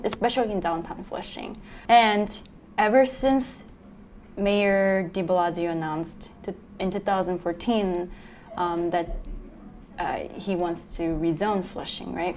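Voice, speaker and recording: A woman's voice: fairly low pitch, a little mellow. Hesitant young woman, faint room noise. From Korea. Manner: hesitant, many fillers. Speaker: documentary interviewee. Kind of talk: casual testimony.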